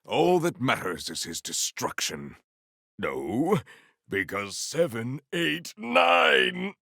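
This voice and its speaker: A man's voice: fairly low pitch, huge pitch swings, gravelly, sharp tone, no room sound, clean studio sound, full character voice. A man voicing a stern, formal warrior in a video game, proud and battle-hungry, with grave and eloquent diction. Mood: grave, composed, then roaring, fierce. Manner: formal, measured, ceremonious diction, few contractions. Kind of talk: video game character voice lines.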